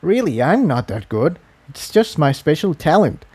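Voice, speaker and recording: A man voicing a modest young male unicorn pony, soft-spoken and earnest, in a storybook reading. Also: on the high side, big pitch swings, moderate pace, slightly rough, no room sound, voice pushed up. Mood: sheepish.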